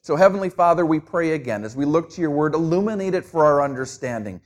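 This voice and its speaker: A man's voice: fairly high pitch, expressive, fast talker. A middle-aged man from Minnesota with a New Jersey accent, preaching a sermon as a guest pastor in an easygoing, conversational way with self-deprecating humor.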